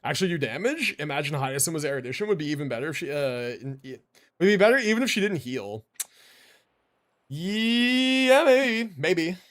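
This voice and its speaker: A man with a high, very animated voice is streaming a game. He talks casually, works out his moves aloud and answers chat, with plenty of slang and swearing. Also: moderate pace. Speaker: game livestreamer. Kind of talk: casual gameplay commentary with chat replies.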